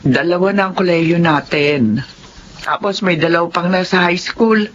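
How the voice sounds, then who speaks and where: A woman's voice: on the low side, normal speed, close to an everyday voice. A middle-aged woman playing an anxious, caring wife and mother in a radio drama. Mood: worried. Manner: worried, pleading. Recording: muffled, faintly noisy drama audio.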